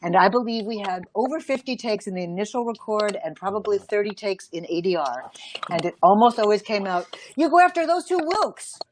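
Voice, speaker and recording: A woman's voice: medium pitch, animated, a little fast, a little hiss or hum. A woman voice director telling anecdotes on a panel in an emphatic way, repeating phrases and acting out quotes. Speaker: animation voice director.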